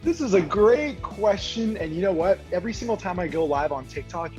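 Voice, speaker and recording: A man's voice: sits high, animated, fairly quick, warm tone, faint music behind. A young man from Honduras, a guest telling stories in a chatty way with many "like" fillers. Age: young adult. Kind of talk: casual storytelling. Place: Toronto.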